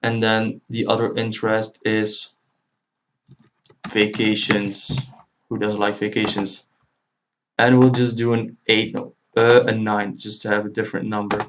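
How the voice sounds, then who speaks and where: A man whose native language is Dutch, giving an informal screen-recorded software tutorial as he walks through each step. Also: fairly low pitch, level tone, measured pace. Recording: dull lo-fi mic, faint noise. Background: computer keyboard typing and clicking.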